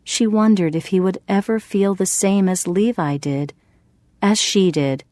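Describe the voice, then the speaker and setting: A woman narrating a bedtime story for English learners in a measured, deliberate, clear way. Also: mid-range voice, dark tone, no room sound.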